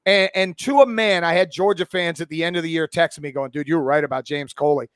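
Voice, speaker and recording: A man in his forties with a notably high-pitched voice, recorded dry without room sound. He talks sports like a pundit in casual conversation. He is blunt and opinionated, and swears freely. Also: quick pace, fairly bright tone, clear, resonant tone, dry recording. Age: 40s. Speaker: college football pundit and podcast guest.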